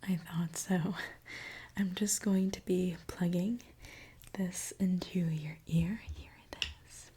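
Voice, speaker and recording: A woman doing an ASMR roleplay as a gentle mechanic, speaking in a soft, reassuring, attentive way. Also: on the low side, fairly even intonation, slow, slight background noise. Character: gentle mechanic repairing a robot client. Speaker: ASMR creator.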